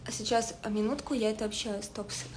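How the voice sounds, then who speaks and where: High-voiced woman in an echoey room. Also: steady tone, a little fast. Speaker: fashion stylist hosting a livestream. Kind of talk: casual livestream style lesson. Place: indoors in a home living room with a desk. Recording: echoey room with faint noise.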